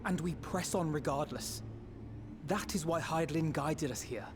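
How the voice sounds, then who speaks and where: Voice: mid-range voice, normal speed, background noise, lightly acted. An actor playing a resolute, devout young adventurer in a video game, speaking earnestly and formally. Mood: determined, solemn. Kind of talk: video game cutscene acting. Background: music.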